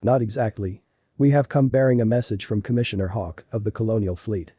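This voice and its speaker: A man playing a stoic, principled alien warrior exile in a video game, speaking formally and solemnly in a husky, gravelly voice. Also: fairly low pitch, warm tone, no echo, studio quality. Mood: respectful. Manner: formal, measured, solemn phrasing.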